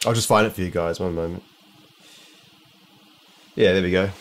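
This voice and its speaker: A man's voice: sits low, fairly even intonation, moderate pace. A young man with a New Zealand accent muses aloud to a camera close in front of him, indoors at home. He rambles along casually, often breaking off and restarting his sentences as he works through his thoughts. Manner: musing, rambling, many restarts. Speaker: optometrist vlogger. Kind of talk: casual talking-head vlog. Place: home living room, indoors.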